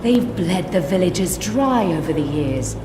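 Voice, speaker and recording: A woman's voice: lowish voice, animated, a little slow, noisy background. An actress playing a defiant, sardonic treasure-seeking female rogue with a wry, biting manner in a fantasy video game. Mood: bitter. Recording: echoey, long reverb, music behind.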